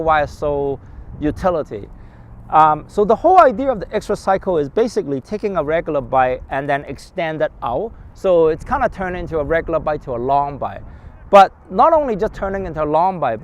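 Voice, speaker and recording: Guy doing a casual product demo outside, kinda high voice, stop-and-start explaining, street sounds behind. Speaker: bike shop presenter. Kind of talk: informal product walkthrough.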